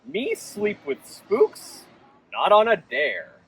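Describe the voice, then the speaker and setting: A man's voice: sits high, huge pitch swings, slow pace, a bit bright, recorded from a distance, slight background noise, heavily acted. A man voicing a sly, blustering father bear who tells spooky tales in an ominous, theatrical way for a children's storybook.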